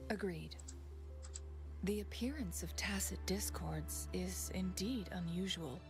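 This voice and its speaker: An actress playing a composed young noblewoman in a game, speaking in a calm, measured way. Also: fairly low pitch, over music. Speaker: game character. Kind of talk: game voice acting.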